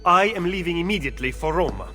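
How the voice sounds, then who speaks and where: An actor playing an impatient Renaissance political schemer with an Italian accent, clipped and critical, in a video game. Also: male voice, a little high, animated, fast, bright, forceful tone. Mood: indignant. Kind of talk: dramatic video game cutscene acting. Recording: music under the voice.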